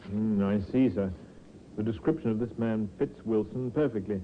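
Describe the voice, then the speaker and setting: A man's voice: on the low side, moderate pace, natural voice. Casting: male actor for methodical, polite police sergeant, formal delivery, vintage radio drama with dull, faintly noisy sound. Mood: deferential. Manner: formal, deferential, matter-of-fact. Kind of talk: 1950s radio drama acting.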